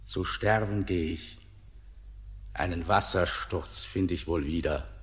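An actor playing a weary, ominous ancient ghostly man in a poetic radio drama, speaking in solemn, declamatory, drawn-out phrases. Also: deep voice. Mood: solemn, resigned. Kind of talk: classic German radio drama, poetic stage diction. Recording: long hall-like reverb.

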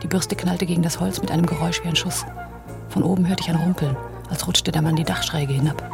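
A woman's voice: lowish voice, steady tone, fast talker, vocal fry, music in the background. A woman acting a cool, resolute accomplice in a German radio crime drama. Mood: tense.

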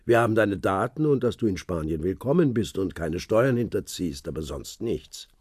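An actor playing an old, seasoned former spy contact who speaks in a measured, fatherly, knowing way in a radio drama. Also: on the low side, expressive, darkish tone, slightly rough, no echo, studio quality. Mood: calm, knowing. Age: older. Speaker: informant on the phone.

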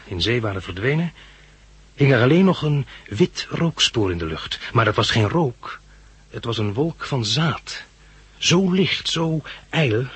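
Earnest narrator guy, 60s dutch radio drama, forceful husky voice, medium pitch, exact wording. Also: dry recording. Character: earnest male narrator recalling his studious youth.

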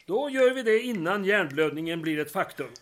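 A man's voice: sits high, lively intonation, fairly bright tone. A man acting a put-upon town mayor in an audio drama, with a dry, sardonic manner. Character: exasperated, sarcastic male town mayor doing plumbing.

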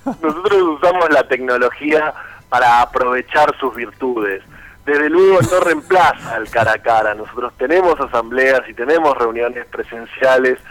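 A man with a Buenos Aires accent answering radio interview questions as a political candidate, measured and articulate. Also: mid-range voice, fairly bright tone, low-quality recording. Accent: Argentine, Buenos Aires.

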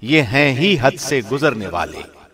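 A man reading a scripture translation in a formal, measured, solemn way, phrasing clearly like a professional radio presenter. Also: mid-range voice, very animated, a bit bright.

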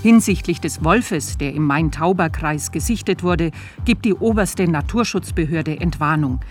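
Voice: mid-range voice, moderate pace, soft background music, lightly acted. A performer playing a sober radio news reader, delivering scripted bulletins in a formal, factual manner. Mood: neutral, formal.

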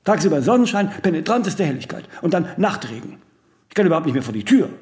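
An actor playing a vain, ageing celebrity in a radio play, imperious, aloof and rambling in aphorisms with little tag questions. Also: male voice, on the high side, very animated, fast, darkish tone. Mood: haughty, complaining. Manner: haughty, rambling, aphoristic, with clipped tag questions and self-corrections. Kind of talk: radio play monologue in dialogue.

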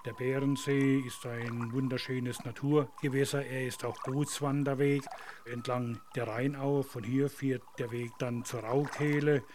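A man describing local waters as a guide, calm and explanatory. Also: medium pitch. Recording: outdoor noise.

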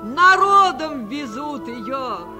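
An actress playing Spring, a stately, tender mother spirit, in a declamatory, unhurried 1940s radio fairy-tale style. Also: sits high, sing-song, exaggerated voice. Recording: 1949 radio recording, faint music behind.

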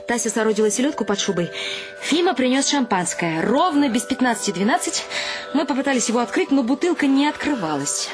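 Wry wife narrating over music. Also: female voice, a little high, sing-song, brisk. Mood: brisk, wry. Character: wry, dreamy wife and mother narrating her household. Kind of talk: first-person radio drama narration. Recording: music behind the voice.

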